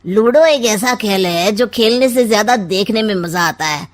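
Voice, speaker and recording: A woman voicing a scheming, smug older uncle in a comic cartoon story, with a sardonic, drawn-out delivery. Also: mid-range voice, animated, lightly acted. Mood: sly, coaxing.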